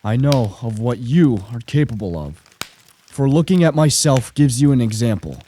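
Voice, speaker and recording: Man playing a mellow, lonely, vengeful monster. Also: mid-range voice, lively intonation, normal speed, a little hiss or hum, close to an everyday voice. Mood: vengeful, demanding. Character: lonely, vengeful male monster created by a scientist. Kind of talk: radio play narration and dialogue.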